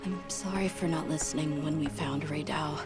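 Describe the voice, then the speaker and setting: A woman's voice: deep voice, steady tone, moderate pace. A woman voicing an earnest, resolute and humble young boy in a video game cutscene. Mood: wistful, earnest. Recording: music behind the voice.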